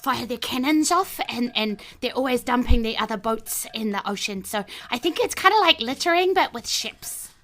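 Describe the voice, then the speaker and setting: A woman's voice: fairly high pitch, animated, normal speed, hardly any pauses, a bit bright, voice pushed up. A woman voicing a cute, earnest little raccoon-like pirate deckhand who reasons things out in a tabletop game. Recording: light music under the voice.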